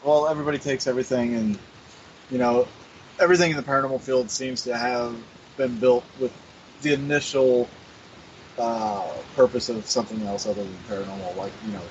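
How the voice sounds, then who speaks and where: A man's voice: medium pitch, steady tone, fairly quick. A man speaks as a guest on a paranormal talk radio show, feeling his way through each thought with lots of "like" and "uh." His voice comes through dull and lo-fi, with a faint hiss behind it. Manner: hesitant, full of "like" and "uh". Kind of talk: casual talk radio conversation.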